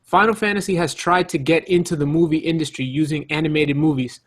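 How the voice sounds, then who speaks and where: A man with a somewhat high, rough-edged voice debates casually as a podcast panelist. He cuts in to make his case and keeps checking that the others agree. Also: steady tone, a little fast.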